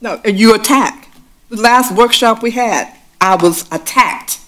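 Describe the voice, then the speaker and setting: A woman's voice: on the high side, unhurried, fairly bright tone. A woman on a school board giving short, defensive replies in a meeting.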